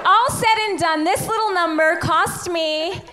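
A woman co-hosting a live comedy podcast on stage, joking in an animated, mock-glamorous way. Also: extremely high pitch, unhurried. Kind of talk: comedic live banter with an audience. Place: on stage in a theater before an audience. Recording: live theater with loud crowd laughter. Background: loud audience laughter and cheering.

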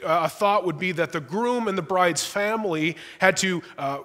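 A man's voice: fairly high pitch, pushed and bright. A young man preaching a sermon as pastor in a church sanctuary, conversational with light humor and small restarts. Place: church sanctuary, large indoor room. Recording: long church reverb.

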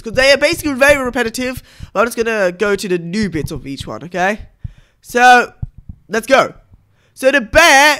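Young youtuber reacting to game cutscenes, really bright punchy voice, casual chatter. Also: medium pitch, clear, resonant tone.

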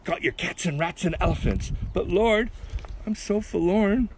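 A man's voice: high-pitched, measured pace, a little mellow, voice pushed up. A man voicing a forlorn ark builder who calls out to the Lord in a storybook poem. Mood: forlorn, pleading. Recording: noisy live recording.

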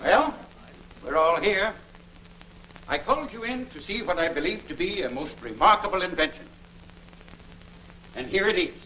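An actor playing an earnest, excitable middle-aged railroad executive in a 1940s film serial, with formal, declamatory speech. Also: male voice, high voice, moderate pace, long pauses. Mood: proud, businesslike. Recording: slightly noisy old film soundtrack.

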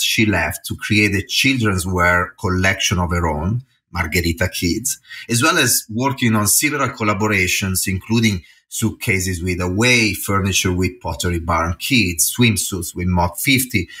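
A man's voice: sits low, normal speed, pushed and bright. A man in his forties with an Italian accent, hosting a podcast interview and asking friendly questions over a video call. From northern Italy. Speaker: podcast host. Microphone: headphones on video call.

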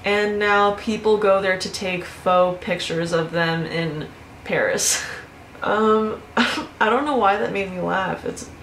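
A young woman in her twenties chats to her camera from a bedroom, animated and casual, swearing and laughing as she goes. Her voice sits at a middling pitch. The room gives it a noticeable echo over a faint background hiss. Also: slow. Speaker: YouTuber. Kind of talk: casual vlog storytelling. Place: bedroom with fairy lights.

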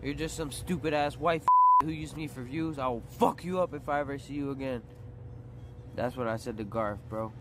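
A man's voice: medium pitch, wildly swooping pitch, moderate pace. A young adult man livestreaming on a phone, ranting in a profane, combative, aggrieved way. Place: outdoors on a city street at night. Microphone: phone at arm's length. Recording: noisy outdoor phone livestream, street noise. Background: street and vehicle noise.

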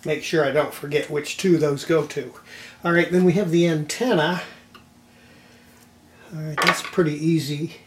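Older male hobbyist, slightly high voice, folksy unscripted demo delivery, roomy sound with some clatter. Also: fairly even intonation, normal speed, long pauses. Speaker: hobbyist restorer presenting his repair video. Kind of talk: casual unscripted workbench demonstration. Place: indoor home electronics workshop. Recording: roomy echo, clattering tool and handling noise.

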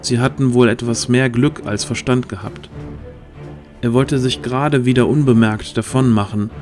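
A man narrating a scripted fantasy audio drama in a measured storytelling manner. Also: mid-range voice, fairly even intonation, a little mellow, soft background music.

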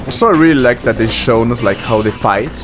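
A man in his twenties giving casual, off-the-cuff reaction commentary in halting, repetitive phrases. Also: medium pitch. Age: 20s. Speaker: YouTube reaction host. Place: indoor room at a desk, music behind. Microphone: desktop microphone with headset worn. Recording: music playing behind the voice.

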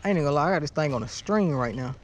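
Young guy, kinda higher voice, slangy fishing vlog chatter out on the water, some noise behind him. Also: animated, racing, lo-fi sound. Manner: slangy, informal. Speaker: fishing vlogger. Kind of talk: casual self-narrated fishing vlog. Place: outdoors in a small boat on a lake. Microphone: body-worn action camera.

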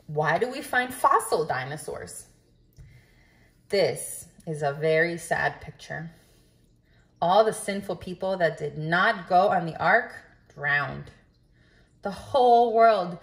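A woman's voice: on the low side, expressive, takes it slowly. Woman reading a children's book aloud. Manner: instructive, addressing young listeners with questions. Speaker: read-aloud storyteller.

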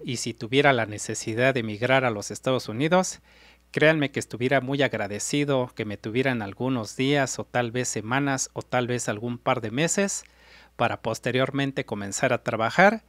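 A man's voice: mid-range voice, moderate pace, fairly bright tone, dry recording. A middle-aged man hosting a reaction vlog, talking earnestly to his viewers and repeating his points. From Mexico. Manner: earnest, repetitive, addresses viewers directly. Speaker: reaction video host. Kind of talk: reaction commentary. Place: indoor room before a city backdrop. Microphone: large desk mic with in-ear monitors.

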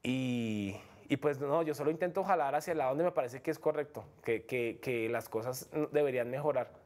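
A man's voice: medium pitch, fairly quick, darkish tone. A young man from Colombia with a Bogotá accent, an MMA fighter speaking frankly as a guest in a studio interview, full of fillers and restarts. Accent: Bogotá Colombian Spanish. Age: young adult. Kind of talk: casual interview talk. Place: TV studio set.

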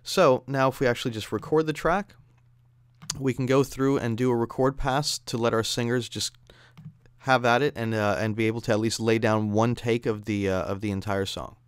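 A man narrating a software tutorial as an instructor, explaining in a conversational way. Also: mid-range voice, steady tone, moderate pace, smooth. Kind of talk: tutorial narration over screen demo.

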